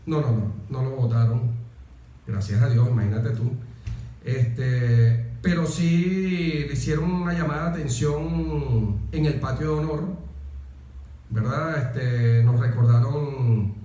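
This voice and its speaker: A man's voice: mid-range voice, slow, dark tone. A middle-aged Venezuelan man telling stories conversationally as an interview guest, repeating words for emphasis and checking in with short tag questions. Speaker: interview guest, former military aide. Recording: echoey room, distant from the mic.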